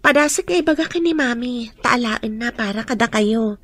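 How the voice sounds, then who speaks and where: A woman's voice: on the high side, animated, moderate pace, fairly bright tone. A woman with an Ilocano accent acting an obliging young woman in a radio drama. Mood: playful, obliging.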